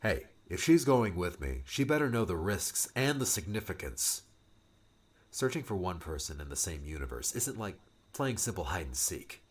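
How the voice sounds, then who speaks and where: A man's voice: low voice, very animated, moderate pace, husky, exaggerated voice. A man voicing a stern, grumpy young male time traveler who speaks curtly and bluntly. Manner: curt, blunt. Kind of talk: audiobook character voice.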